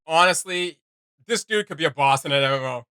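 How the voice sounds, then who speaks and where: An enthusiastic man hosts a reaction video in casual, slangy talk. His voice is bright and forward, and the recording is close and dry. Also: a little high, fairly quick, smooth, no room sound, soft background music.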